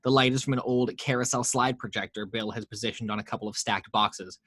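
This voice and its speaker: A man's voice: medium pitch, fairly even intonation, fairly quick, fairly bright tone. A man reading the action lines of a screenplay aloud at a home table read, steadily, voicing the sound effects. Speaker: narrator reading action description.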